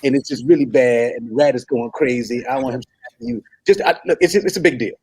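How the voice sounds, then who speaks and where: A man in a comedy table read playing an apologetic, flustered suitor who stammers and fibs, very polite and halting. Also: mid-range voice, a little mellow, breathy edge, no echo. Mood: nervous fibbing. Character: apologetic, flustered man, nervously fibbing to impress his date.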